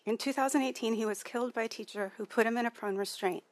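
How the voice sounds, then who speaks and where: Soft-spoken woman giving testimony. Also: on the high side, fast talker, no room sound. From California. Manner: soft, quiet voice. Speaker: witness at a hearing. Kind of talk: prepared testimony.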